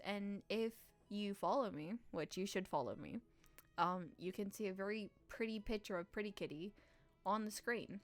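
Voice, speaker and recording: A woman streamer, playful and expressive, reading a visual novel aloud and chatting on a live stream. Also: mid-range voice. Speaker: game streamer. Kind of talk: live stream chat and read-aloud narration.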